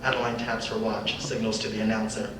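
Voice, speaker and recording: A man's voice: lowish voice, level tone, fairly quick, distant mic. Young man narrating in an echoey, noisy hall. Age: young adult. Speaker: narrator reading stage directions. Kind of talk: scripted narration.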